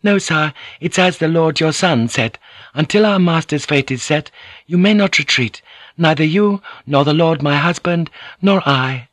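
A man's voice: on the high side, a little slow, fairly bright tone, no echo, voice pushed up. A man voicing a young noblewoman who speaks politely, earnestly and deferentially. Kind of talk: audiobook character voice.

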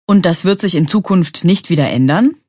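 An actress playing a polite radio show moderator, speaking in a formal, scripted way. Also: female voice, fairly low pitch, lively intonation, moderate pace, mellow, slightly rough, no room sound, studio quality. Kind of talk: scripted exam dialogue.

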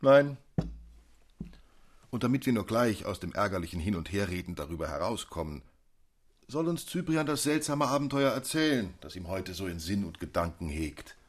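Husky low impatient club friend, radio play, emphatic, pitch jumps around. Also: male voice, big pitch swings, normal speed. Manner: emphatic, argumentative, old-fashioned phrasing. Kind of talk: German radio drama dialogue.

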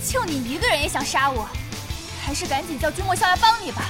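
A woman's voice: very high voice, lively intonation, fast. A woman voice actor playing a haughty, vengeful young guild leader in a Mandarin audio drama with a Mainland accent. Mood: menacing, taunting. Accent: Mainland Chinese Mandarin. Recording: music behind the voice.

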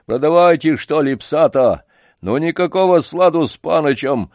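A man reading an audiobook, voicing a loud, pushy male yard-keeper who keeps coaxing and pleading. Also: fairly high pitch, animated, moderate pace, no echo, clean studio sound, voice pushed up. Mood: insistent. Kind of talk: audiobook character voice.

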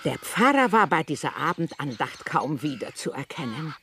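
A man's voice: on the high side, very animated, normal speed, pushed and bright, soft background music, full character voice. An actor playing a young man who narrates village events vividly in a radio drama, an astonished observer. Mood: observant. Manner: vivid storytelling, quotes with emphasis.

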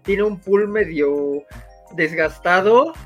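A man's voice: sits high, takes it slowly. A man with a Mexican accent giving casual, reflective commentary as co-host of a gaming news show. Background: music behind the voice.

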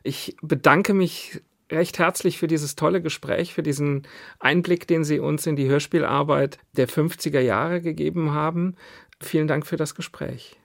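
A man's voice: on the high side, steady tone, moderate pace, creaky. A man speaking politely as a radio interviewer and presenter in a studio.

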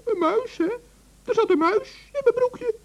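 A woman voicing a flustered little male forest gnome in an old radio play, with a very high voice that stammers and frets. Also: unhurried. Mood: anxious. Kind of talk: 1960s radio play acting.

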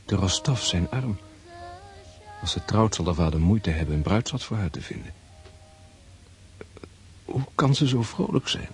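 A man's voice: low voice, animated, a little slow, long pauses, darkish tone, faint music behind. An actor playing a wistful, brooding nobleman thinking aloud in a radio drama monologue.

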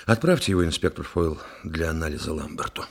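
An actor playing a thoughtful investigator in a radio play, measured and reflective, with a gravelly, husky voice. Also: male voice, a bass voice, lively intonation, full character voice. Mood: pondering. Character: thoughtful investigator pondering a theatre murder. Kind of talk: dramatic radio play dialogue.

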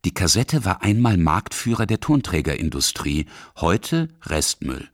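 A man's voice: deep voice, big pitch swings, a little slow, no room sound. A middle-aged man narrating a scripted radio feature as its author.

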